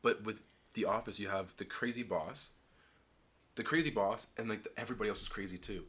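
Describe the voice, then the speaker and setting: A man's voice: fairly low pitch, fairly even intonation, fairly bright tone. A young man co-hosting a podcast, chatting casually and emphatically with friends. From Canada. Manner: quick, emphatic, opinionated. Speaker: podcast co-host. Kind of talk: casual chat among friends.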